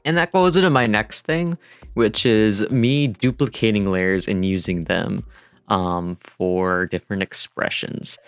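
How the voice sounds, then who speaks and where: A man's voice: lowish voice, measured pace, clear, resonant tone, dry recording. A man giving a casual, rambling tutorial voice-over in an informal, self-deprecating way. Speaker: digital artist narrating a dev vlog. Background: music.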